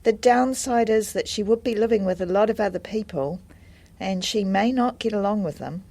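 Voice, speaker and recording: A woman acting a helpful, friendly adviser in a scripted listening-test dialogue, speaking in a measured, explanatory way. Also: medium pitch, warm tone, close to an everyday voice. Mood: helpful, calm.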